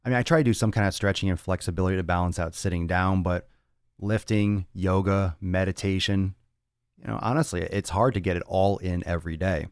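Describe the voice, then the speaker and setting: Fitness podcast host, male, very low voice, blunt and profane, dry close mic. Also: fairly even intonation, a little fast, a little mellow, dry recording. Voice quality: raspy on some days. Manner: blunt and profane, rambling with restarts. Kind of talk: casual solo talk answering listener chat.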